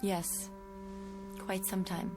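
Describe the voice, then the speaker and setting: A woman plays a guarded schoolteacher in a vintage television drama. Her speech is polite and halting, with a troubled undertone. Music is clearly heard behind her. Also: medium pitch, flat delivery, lightly acted. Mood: troubled, hesitant. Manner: hesitant, halting, courteous. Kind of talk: mid-century American TV drama acting.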